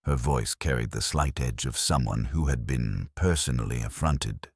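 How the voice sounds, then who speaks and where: A man reading scripted mystery audiobook narration in a measured, dry, formal storytelling manner. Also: extremely low pitch, breathy edge, no echo.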